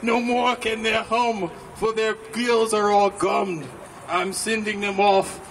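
A man's voice: high-pitched, flat delivery, slow, a bit bright, full character voice. A man voicing a scolding old forest creature from a children's storybook, snappish and emphatic, through a windy outdoor microphone. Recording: windy outdoor PA, distant, noisy.

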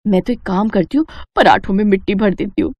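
A woman's voice: medium pitch, quick pace, darkish tone, no echo, pitched below the performer's own voice, close to an everyday voice. A woman voicing a greedy, pushy grown daughter-in-law in a cartoon moral story, complaining and eager. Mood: scheming. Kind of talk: animated moral story dialogue.

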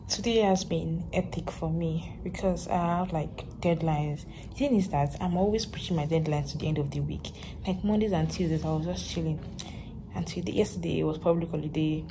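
A young woman with a Nigerian accent chatting casually to her phone camera as a vlogger, relaxed and rambling. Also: sits low, fairly quick, creaky, poor audio. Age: young adult. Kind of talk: casual vlog chat to camera. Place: bedroom, in bed; also parked car. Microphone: phone held at arm's length. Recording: background music under the voice.

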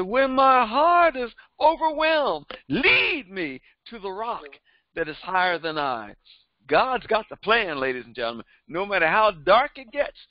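Older man, pastor, fervent repetitive preaching, high voice, lively swings in pitch, dry close sound, slightly dull headset audio. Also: no echo. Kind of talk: preaching a Bible lesson. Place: small indoor studio before a printed backdrop. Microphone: headset microphone.

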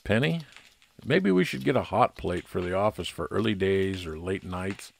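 A man's voice: deep voice, animated, moderate pace, creaky, a little hiss or hum. A man narrating a scripted first-person private investigator story in old-time radio detective style.

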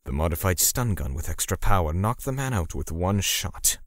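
A man playing a cold, righteous torturer for hire who narrates a manga dub in a measured, grim way. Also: sits low, expressive. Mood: grim, matter-of-fact.

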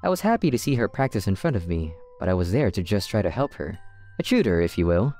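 A woman's voice: extremely low pitch, quick pace. A woman voicing an eager, easily flustered teenage otaku schoolboy in a manga romcom dub, sometimes hesitant and stammering. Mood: reflective narrating. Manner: excitable, often stammering and hesitant. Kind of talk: manga dub acting with first-person narration. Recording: music behind the voice.

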